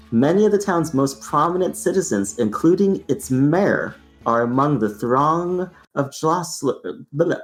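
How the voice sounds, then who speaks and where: A man with a speech impediment on his R sounds, narrating a tabletop game as game master and stumbling through restarts. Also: mid-range voice. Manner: halting, many restarts and laughs.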